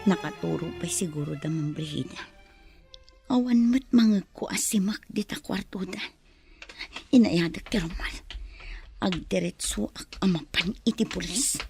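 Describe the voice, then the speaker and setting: A woman playing a frightened, desperate young woman in a radio drama, often breathy and urgent. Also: mid-range voice, natural voice. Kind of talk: Ilocano radio drama acting.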